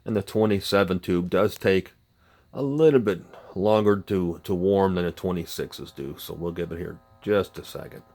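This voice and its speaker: Low-voiced man demonstrating vintage gear. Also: a little mellow. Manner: halting, explanatory, unscripted. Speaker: vintage radio collector and presenter. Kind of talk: informal demonstration talk to camera.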